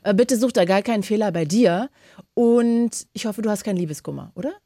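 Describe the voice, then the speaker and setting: A woman's voice: medium pitch, no echo, clean studio sound. A woman hosting a radio call-in show, chatting casually and giving callers advice. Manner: chatty, warm-hearted advice, fast talking. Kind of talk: casual radio phone-in chat.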